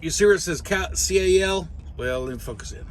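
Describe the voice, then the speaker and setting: A man's voice: sits high, brisk. A middle-aged American man, a truck driver, giving a casual hands-on tutorial in a plain, folksy way. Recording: clearly noisy vehicle cab.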